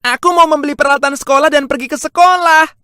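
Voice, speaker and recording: A woman's voice: sits high, fast, sharp tone, no room sound. A woman voicing a naive, eager little wooden puppet boy in an innocent, childlike way for a storybook cartoon.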